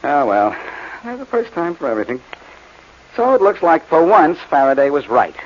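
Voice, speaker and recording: A man's voice: on the high side, big pitch swings, moderate pace. A man acting a wry, cool-headed, take-charge amateur detective in 1940s radio style. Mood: confident. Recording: muffled noisy 1940s radio recording.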